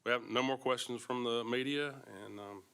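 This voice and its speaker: A forum moderator introduces candidates briskly and courteously, now and then correcting himself. His voice is bright and forward. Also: medium pitch.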